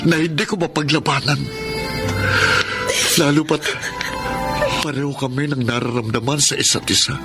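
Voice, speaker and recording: A man playing a guilty, cornered husband pleading in a Filipino radio drama, with a Filipino accent and a halting, apologetic delivery. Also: medium pitch, steady tone, lightly acted. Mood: earnest, remorseful. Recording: loud dramatic music behind the voice.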